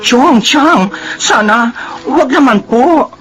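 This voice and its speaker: A man's voice: very high voice, animated, slow pace, fairly bright tone, full character voice, low-quality recording. A man with a Filipino accent playing a respectful, caring younger relative in a drama. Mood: concerned. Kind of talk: dramatized Filipino radio-style drama acting.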